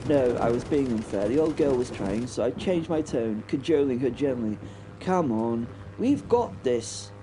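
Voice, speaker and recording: An actor playing a haunted but plucky biplane pilot, telling his story in a halting, wryly understated horror audio drama monologue. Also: medium pitch, animated, noisy background, lightly acted. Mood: urgent, coaxing. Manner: halting, repeating words, wry understatement. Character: British First World War biplane pilot, haunted, plucky, recounting battle.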